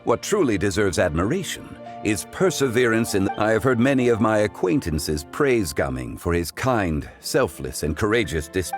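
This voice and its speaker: An actor voicing a composed, wise gentleman scholar in a video game, speaking in formal, measured, eloquent phrases. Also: on the low side, big pitch swings, faint music behind. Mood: courteous.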